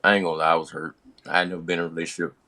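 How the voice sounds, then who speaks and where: A man's voice: deep voice, level tone, very fast, sharp tone. An American man hosting a podcast, casually telling stories from his younger days in slangy, profane talk, acting out the dialogue as he goes. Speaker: podcast host.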